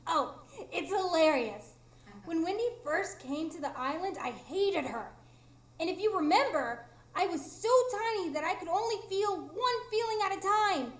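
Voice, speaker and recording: A woman's voice: very high-pitched, expressive, moderate pace. A woman playing a tiny, mischievous, blunt fairy with a childlike, teasing manner in a live radio drama. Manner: childlike, teasing, matter-of-fact. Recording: echoey room, live stage recording.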